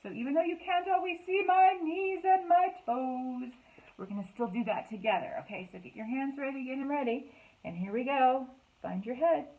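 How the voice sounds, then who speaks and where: A woman hosting a children's storytime, speaking in an expressive, sing-song way to young listeners, in slightly dull, lo-fi sound. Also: high-pitched, moderate pace. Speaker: library storytime host. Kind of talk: children's storytime, read-aloud and singalong.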